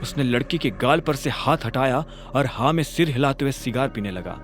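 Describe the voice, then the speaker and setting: A man's voice: mid-range voice, normal speed. A man narrating a scripted audio drama in a dramatic storytelling style, as its narrator and voice actor. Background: background music.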